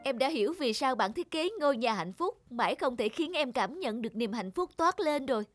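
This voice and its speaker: A woman's voice: high voice, lively intonation, brisk, soft background music. A woman voicing an earnest, polite young male architecture student in a cartoon moral tale, with neat enunciation. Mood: earnest, enlightened. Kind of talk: animated moral tale dubbing.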